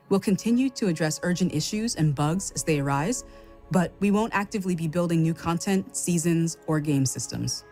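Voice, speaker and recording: Low-voiced woman reading a scripted announcement. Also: darkish tone.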